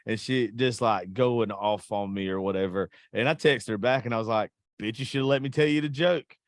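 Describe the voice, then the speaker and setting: A man's voice: lowish voice, fairly quick, no room sound. A man hosting a podcast, chatting casually and telling crude stories with a lot of profanity and self-mocking humor. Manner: profane, joking, laughs at himself. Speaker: podcast host. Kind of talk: casual crude storytelling chat.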